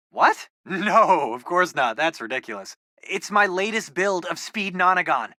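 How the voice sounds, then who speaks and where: An eager, geeky American teenage boy who serves as a superhero's tech helper in a video game. His delivery is informal and quippy, with animated swings in pitch and a bright, forward tone. The sound is very dry, with no room around it. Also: no echo, full character voice. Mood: excited, amazed. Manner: informal, eager, nerdy tech talk with quips. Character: geeky, excitable teenage boy hacker, loyal superhero sidekick. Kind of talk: video game voice acting, casual radio-style chatter.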